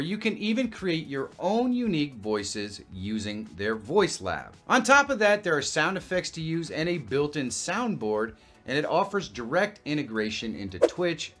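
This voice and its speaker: A man in his fifties presenting a software tutorial as a video creator, explaining in a casual, direct way. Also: mid-range voice. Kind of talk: tutorial narration to camera and screen. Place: small indoor home studio. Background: music.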